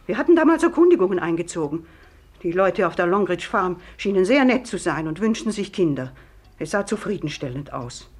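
A woman's voice: fairly low pitch, sing-song, a little fast, darkish tone, breathy edge. An actress in a 1950s radio play playing a pompous, disapproving middle-aged lady guest, haughty and easily indignant. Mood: defensive.

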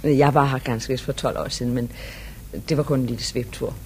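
An actress playing a gracious, excitable touring singer in a 1950s radio drama, theatrical and a little hesitant. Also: female voice. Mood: reflective. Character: gracious, excitable touring female singing star. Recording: old radio recording with rumbling noise.

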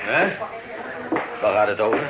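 An actor in a radio play as a canny barge skipper, plain-spoken and gruffly matter-of-fact. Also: male voice, on the low side, animated, measured pace, very noisy. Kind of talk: radio drama acting. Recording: radio play with tavern crowd behind.

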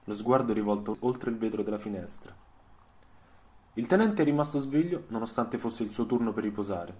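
A man narrating a scripted story for radio, in measured phrases with dramatic pauses. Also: on the low side, lively intonation, darkish tone. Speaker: storyteller and author. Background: soundtrack music.

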